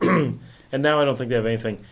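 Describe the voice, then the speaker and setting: Middle-aged male livestream host, casual chat, calm unhurried delivery, mildly raspy. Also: mid-range voice. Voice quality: raspy tonight. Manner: calm, unhurried, mellow talker.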